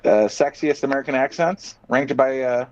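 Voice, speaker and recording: A man's voice: medium pitch, normal speed, darkish tone. A man chatting casually as a podcast host, reading out items and reacting with profane, sardonic opinions. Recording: dull, muffled lo-fi audio.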